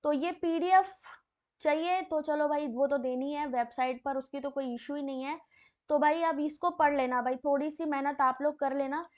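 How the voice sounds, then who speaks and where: A woman with a hoarse, sore throat teaching a live online exam-prep class, reading out questions and chatting with viewers by name. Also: high-pitched, fairly even intonation, moderate pace, darkish tone.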